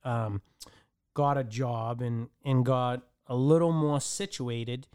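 A middle-aged man from the Dominican Republic tells his life story as a podcast guest. His voice is smooth and clean, and the recording is dry with no room sound. He pauses and restarts often as he works through his memories. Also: mid-range voice, fairly even intonation, a little mellow, no echo. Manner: halting, with frequent restarts and fillers. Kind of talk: personal storytelling.

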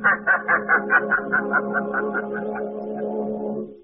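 A man playing a sinister, mocking crime fighter in 1940s radio style, with a slow, drawn-out, menacing delivery. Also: high voice, gravelly, full character voice. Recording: scratchy, tinny 1940s radio recording, loud music.

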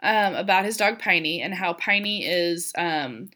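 A young woman with a sick voice co-hosts a podcast in casual conversation. Her tone is bright and forceful, and her humor is dry and wry as she tells her stories. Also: lowish voice, animated, measured pace. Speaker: podcast co-host. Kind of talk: casual chat.

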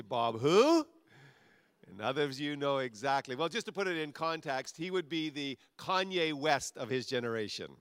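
A man's voice: medium pitch, fairly bright tone, no room sound. A male pastor preaching a sermon in a conversational way that builds to emphatic peaks.

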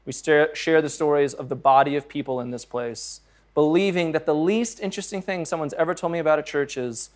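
A male pastor with a fairly high voice preaches in an earnest, conversational way. He moves between scripture reading and plain talk to the congregation and pokes fun at his own stumbles. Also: fairly quick.

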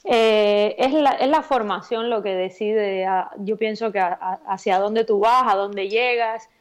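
A woman's voice: medium pitch, a little slow, clear, resonant tone. A Cuban woman with a Cuban Spanish accent, a singer-songwriter chatting as an interview guest, thoughtful and often repeating words as she looks for them. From Cuba. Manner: thoughtful, repeats words while searching for them, laughs easily. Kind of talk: relaxed interview chat.

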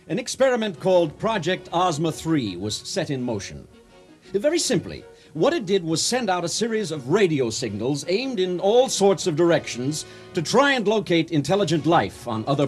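A pompous, folksy speechmaker in a radio play. His voice sits a little high and swings widely in pitch as he holds forth theatrically about a spacefaring age. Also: huge pitch swings, moderate pace, soft background music. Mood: folksy explaining. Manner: grandiloquent, theatrical delivery. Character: pompous, folksy ceremonial orator of a spacefaring future. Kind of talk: comic speech in a radio drama.

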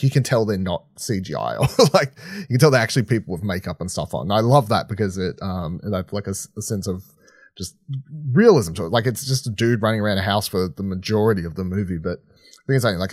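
A man with a lowish voice chats casually as a podcast co-host. He rambles through his review, leaning on "like" and "sort of". The sound is dry and close. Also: animated, quick pace, no room sound.

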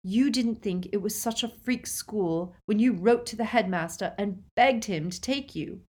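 A woman's voice: medium pitch, lively intonation, unhurried, pitched above the performer's own voice, lightly acted. A woman voicing an earnest, tender-hearted but proud young girl in an audiobook, speaking with emotion. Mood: fierce.

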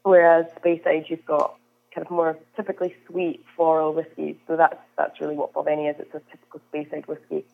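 A woman's voice: low voice, flat delivery, fairly quick, creaky. A young Scottish woman from the Hebrides, a whisky brand ambassador, chatting as an interview guest with frequent "um"s. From Scotland, Isle of Lewis. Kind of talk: conversational interview answers. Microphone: phone call. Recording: tinny phone line.

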